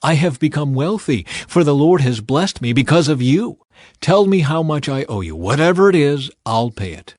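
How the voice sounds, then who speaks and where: A man's voice: fairly high pitch, sing-song, moderate pace, darkish tone, no room sound, studio quality, voice pushed up. Man reading as persuasive, ingratiating ancient patriarch. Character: ingratiating older male ancient livestock owner. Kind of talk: Bible audiobook character reading.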